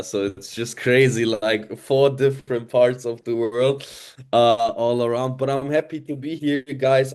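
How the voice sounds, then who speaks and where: A man's voice: medium pitch, darkish tone. A man in his twenties, originally from Italy, speaking earnestly on an online webinar and often repeating himself. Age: 20s. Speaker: webinar presenter. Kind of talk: casual online presentation.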